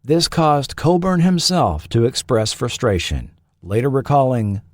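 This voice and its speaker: A man narrating a scripted documentary in a measured, formal storytelling manner. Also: medium pitch, animated, dry recording, studio quality. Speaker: podcast host and narrator.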